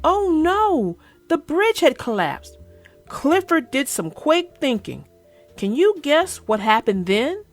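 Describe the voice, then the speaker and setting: A woman children's book narrator speaking expressively and deliberately, as if to young children. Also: high-pitched, very animated, soft background music. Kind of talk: storybook read-aloud and casual chat.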